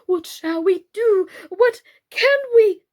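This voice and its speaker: A woman's voice: extremely high pitch, slow pace, darkish tone, pitched above the performer's own voice. A woman voicing a timid, fretful male doll father from a children's storybook, bleating anxiously. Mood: despairing.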